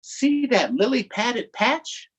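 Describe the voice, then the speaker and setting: Man acting folksy swamp boat guide, excitable and wary, drawn-out storybook delivery, swooping pitch, husky edge. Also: lo-fi sound, full character voice. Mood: hushed excitement.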